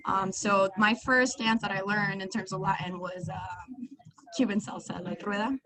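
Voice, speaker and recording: Brief: chatty young Venezuelan-born woman, mid-pitched, laughs easily. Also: normal speed, crowd noise, poor audio. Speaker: guest dancer. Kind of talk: casual podcast interview.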